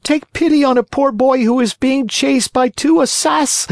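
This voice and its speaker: A man voicing a frightened wooden puppet boy who pleads in a high, crying voice. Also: measured pace, gravelly, no room sound, clean studio sound, exaggerated voice. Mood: pleading.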